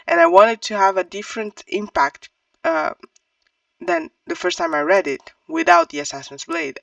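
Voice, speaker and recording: A book reviewer talking casually and thinking aloud, in a halting, hesitant and self-correcting way. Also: mid-range voice, gravelly, fairly bright tone, no echo, far from the mic, lo-fi sound. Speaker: book reviewer on own channel.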